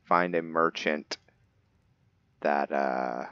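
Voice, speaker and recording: A man giving unscripted roleplay commentary over a video game, halting and deliberate, with long pauses. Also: very deep voice, lo-fi sound. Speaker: gamer hosting a roleplay playthrough.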